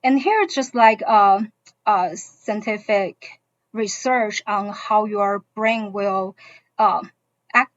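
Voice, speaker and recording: A woman from China, a native Mandarin speaker with a Mandarin accent, explaining a school program to parents as a teacher in halting English. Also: mid-range voice, no echo. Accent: Mandarin Chinese. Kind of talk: informational presentation to parents. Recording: dull, muffled online-session audio.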